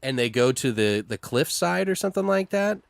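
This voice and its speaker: Casual foul-mouthed man brainstorming out loud. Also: medium pitch, big pitch swings, moderate pace, gravelly, clean tone, no echo. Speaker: co-host brainstorming a horror audio drama. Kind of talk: casual creative brainstorming chat.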